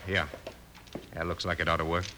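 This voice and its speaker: A man's voice: sits low, little pitch movement, a little fast, lightly acted. A man playing a curt, shifty outlaw who poses as a cattle buyer, brusque and clipped, in a 1950s radio Western. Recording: old radio broadcast, faint noise.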